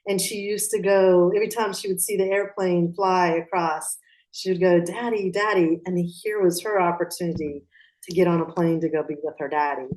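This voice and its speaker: A woman interview guest who was born in Pakistan and raised in Atlanta. She talks in a reflective way, circling back and restarting as she thinks, in a fairly low voice. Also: fairly even intonation, moderate pace, darkish tone, rough recording. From Pakistan, raised in Atlanta, Georgia. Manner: reflective, with frequent restarts and fillers. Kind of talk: conversational interview.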